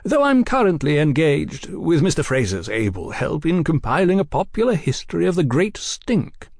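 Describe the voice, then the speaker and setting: Man acting out a suave gentleman journalist, raised pitch, very lively intonation, courteous, effusive, wordy, audiobook character. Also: moderate pace, gravelly, no room sound, clean studio sound, heavily acted. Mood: smooth cordiality. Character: suave, mild-mannered gentleman journalist, feigning cordiality.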